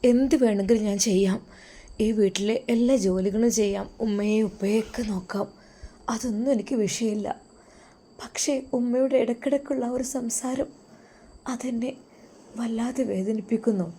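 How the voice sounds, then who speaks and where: A woman voicing a hurt young wife who speaks quietly, on the edge of tears. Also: medium pitch, normal speed, long pauses, husky, lightly acted. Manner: quiet, fragile and close to tears.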